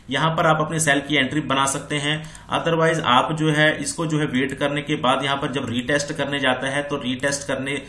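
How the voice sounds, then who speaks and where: Bright-voiced man teaching, echoey room. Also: on the high side. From India. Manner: repetitive explaining, mixing in English terms. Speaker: stock trading instructor. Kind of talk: informal screen-share tutorial on chart patterns.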